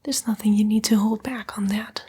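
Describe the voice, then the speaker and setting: A woman voicing a smug, seductive female demon, a fallen angel, in a breathy, coaxing ASMR roleplay whisper. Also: mid-range voice, fairly even intonation, warm tone. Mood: coaxing, scornful. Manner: slow, coaxing, taunting, drawn-out whisper.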